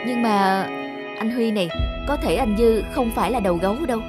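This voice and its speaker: A woman's voice: a little high, a little fast, over loud music, natural voice. A woman voicing a caring, naive young nurse in a cartoon, earnest and polite. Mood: earnest, questioning. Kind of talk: animated cartoon dialogue.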